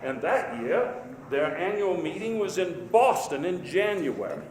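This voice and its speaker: A man playing a genial, self-deprecating old statesman who tells stories in a halting, folksy after-dinner speech. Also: high-pitched, big pitch swings, darkish tone. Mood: wry, amused. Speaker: historical reenactor giving a speech. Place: large indoor hall before an audience. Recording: echoey hall, noisy live recording.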